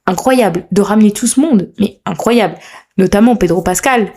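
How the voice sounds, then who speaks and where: A woman's voice: mid-range voice, moderate pace, a little mellow, breathy edge. A young woman hosting a casual solo podcast, chatty, playful and self-mocking, with a slightly sore throat. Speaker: podcast host. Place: room at home.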